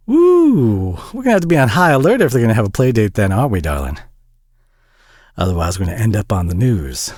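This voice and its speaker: Low-voiced, flustered Southern single dad, acted by a man. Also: a little mellow, slightly rough, dry recording, studio quality. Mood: amused, friendly. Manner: folksy, hesitant, chuckling drawl with pet names. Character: warm, flustered, tired Southern single dad, gently flirty. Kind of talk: intimate audio roleplay drama.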